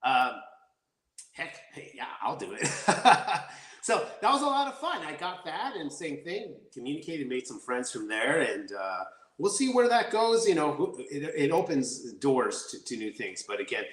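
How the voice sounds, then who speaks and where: A man's voice: a little high, moderate pace. Guy telling stories in an interview, talks in bursts, lots of restarts, echoey room. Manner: rambling, energetic storytelling with many restarts. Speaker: actor guest. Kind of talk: casual interview chat. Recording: roomy echo.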